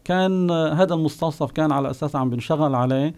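A man with a Palestinian Bedouin accent, a guest recalling his home village, pausing often with long filler sounds. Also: on the high side, a little mellow, clean tone. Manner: unhurried storyteller, pauses often with drawn-out "ah" fillers. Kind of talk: reminiscing oral history on a call-in show.

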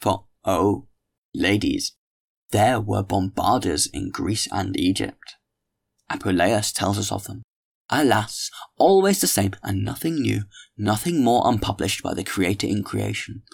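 A man's voice: lowish voice, animated, a little slow, creaky, heavily acted. A man voicing a witty young man holding forth at dinner, speaking with a theatrical, mock-solemn grandeur. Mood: grandiloquent.

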